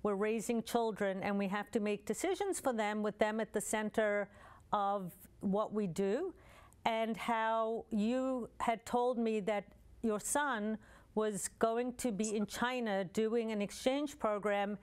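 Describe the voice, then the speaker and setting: A woman in her fifties hosting a show, presenting a scripted introduction in a clear, measured way. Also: mid-range voice, a little mellow. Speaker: show host. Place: studio with dark curtain.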